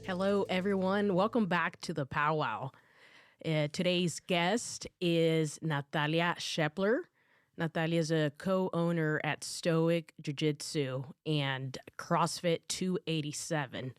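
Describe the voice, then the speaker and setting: A woman hosting an interview podcast, asking questions in a halting way with many restarts. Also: deep voice, no echo, clean studio sound. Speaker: podcast host. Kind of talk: casual interview.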